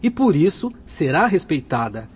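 A man's voice: a little high, big pitch swings, moderate pace, slightly rough. An actor playing a wise, kindly and assured male spirit technician in a radio drama, speaking formally and reassuringly. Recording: dull lo-fi radio drama, music behind.

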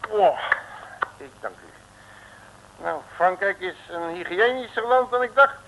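A man's voice: high voice, lively intonation, unhurried, fairly bright tone, exaggerated voice. A man playing a brash, grumbling, wisecracking private detective with slangy, speech in an old radio serial. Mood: cheerful. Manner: slangy, sardonic, folksy. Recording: noisy old radio recording.

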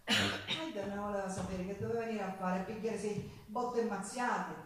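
A woman's voice: medium pitch, fairly even intonation, normal speed, noisy background, natural voice. A woman playing a passionate working-class Sicilian who recalls her hard life in a dramatic, emphatic stage monologue with a Sicilian accent. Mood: grave, grieving. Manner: dramatic, emphatic storytelling with repetitions. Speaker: stage actress and singer in a monologue. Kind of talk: theatrical monologue with folk singing. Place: theatre stage with live guitar. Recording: echoey theatre, distant mic, guitar music.

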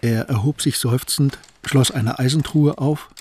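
A man narrating a radio play in an even storytelling manner. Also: mid-range voice, unhurried, a little hiss or hum.

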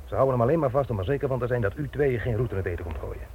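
An actor in a Dutch radio drama playing a firm, reassuring police official with a brisk manner. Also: male voice, mid-range voice, lively intonation, lightly acted. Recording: muffled old radio recording, faint noise.

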